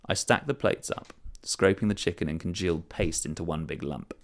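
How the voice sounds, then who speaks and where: A man reading a short story aloud, telling it in a measured, wry first-person voice. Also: sits low, gravelly. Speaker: story reader. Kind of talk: scripted narration of a short story.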